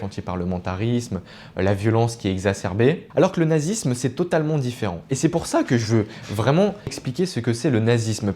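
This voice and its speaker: A man's voice: mid-range voice, a little mellow. A man giving informal explanatory commentary as a video essayist, earnest and didactic, often digressing and correcting himself.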